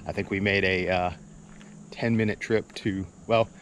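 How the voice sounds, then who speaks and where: Middle-aged male vlogger, low voice, relaxed rambling delivery, recorded outdoors with light nature noise. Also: normal speed. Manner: laid-back, rambling, chuckles while talking. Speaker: vlog host. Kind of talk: casual outdoor vlog chat. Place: outdoors at a campground. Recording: outdoor noise, birds and insects.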